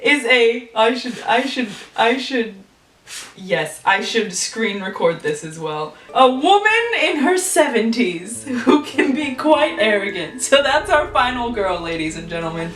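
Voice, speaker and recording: A woman's voice: fairly high pitch, sing-song, measured pace, a bit bright. A woman casually making up and telling a story, chatty and thinking aloud. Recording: roomy echo from a distance, faint music.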